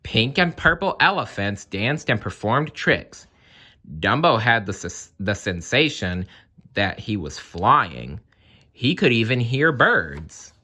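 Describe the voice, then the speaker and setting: Animated man reading a children's story. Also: on the low side, takes it slowly. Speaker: story reader. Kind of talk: expressive children's read-aloud narration.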